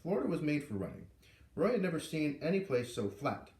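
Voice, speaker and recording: A man reading a story aloud in an expressive, informal way, narrating like a storybook reader. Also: fairly high pitch, a little fast. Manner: animated, informal asides. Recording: echoey room, far from the mic.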